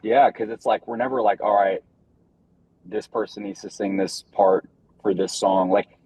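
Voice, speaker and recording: A man's voice: on the low side, steady tone, moderate pace, mellow, slightly rough, no room sound. A young man, a band member, chatting casually in an interview, rambling and laid-back with lots of fillers. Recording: dull lo-fi recording.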